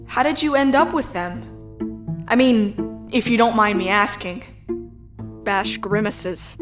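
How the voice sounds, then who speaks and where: A woman's voice: medium pitch, natural voice. A woman voicing an earnest, easily flustered, curious young space traveller who narrates a visual novel in the first person. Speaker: narrator and player character. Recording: music behind the voice.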